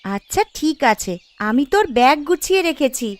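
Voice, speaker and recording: A woman voicing a gentle, caring village mother and dutiful daughter-in-law in a cartoon, attentive and homely. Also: high-pitched, very animated, fairly quick, dry recording. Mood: reassuring. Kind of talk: Bengali family cartoon voice acting.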